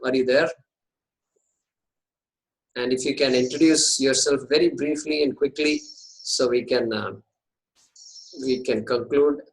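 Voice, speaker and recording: A man speaks over a video call as host of an online class. He talks haltingly, with frequent fillers and restarts. His voice sits at an ordinary middle pitch. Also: low-quality recording. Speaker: course organizer and host. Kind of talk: casual video call talk. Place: home in India.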